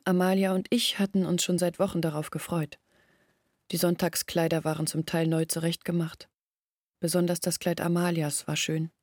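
An actress playing a young village woman in a German radio drama, recalling her family's past in a measured, reflective way. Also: on the low side, darkish tone, no echo, clean studio sound, lightly acted. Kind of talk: German radio play acting, reflective storytelling.

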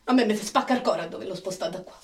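Actress as stubborn, protective Roman carer, fast and colloquial, radio drama with a roomy, echoing sound. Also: female voice, mid-range voice, a little mellow, lightly acted. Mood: worried, apologetic. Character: worried, protective, stubbornly defiant Roman woman, a caregiver. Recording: roomy, distant, noisy microphone.

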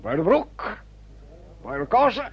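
An actor playing a jovial, chatty doctor with a husky voice and an effusive manner in a radio play. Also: male voice, high-pitched, sing-song, slow, exaggerated voice. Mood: jovial, pleased. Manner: effusive, repeats little tag words. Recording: dull old radio recording.

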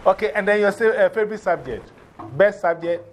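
A man's voice: high-pitched, fairly quick, very noisy. A man with a Ghanaian accent giving informal classroom instruction, directing people and repeating his instructions. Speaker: teacher of a drumming and English class.

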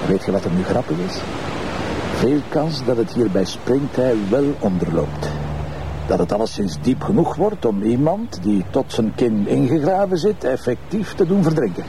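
Gravelly, teasing Flemish police commissioner. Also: male voice, mid-range voice, big pitch swings, moderate pace, background noise. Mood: probing, wry. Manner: colloquial, bantering, needling. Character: brash, teasing, beer-loving Flemish male police commissioner. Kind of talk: naturalistic TV crime drama acting.

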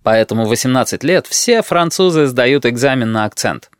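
Casting: male first-person audiobook reader, mid-pitched, even and measured, very dry studio sound. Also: smooth, no room sound. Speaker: audiobook narrator.

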